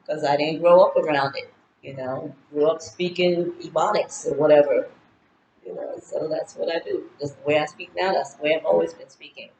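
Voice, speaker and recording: A woman's voice: low-pitched, darkish tone, muffled recording, slight background noise. A woman in her sixties talking casually to her viewers, rambling through a list of goals with lots of fillers. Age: 60s. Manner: chatty, rambling, lists goals with many fillers. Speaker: vlogger. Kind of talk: casual birthday monologue to camera. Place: home in Ghana. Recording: roomy echo with faint ambience.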